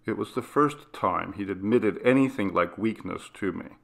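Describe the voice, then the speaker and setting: A man narrating a classic ghost story in a measured, hushed reading style. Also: on the low side, gravelly. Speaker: audiobook narrator.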